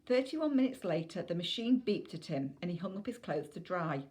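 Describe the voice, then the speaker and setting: A woman's voice: fairly low pitch, big pitch swings, moderate pace, a little mellow. A woman reading a children's novel aloud as scripted narration.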